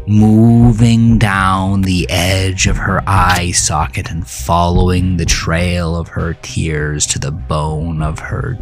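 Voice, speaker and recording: A man reading a scripted horror story as narrator, in a deliberate, ominous manner. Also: low-pitched.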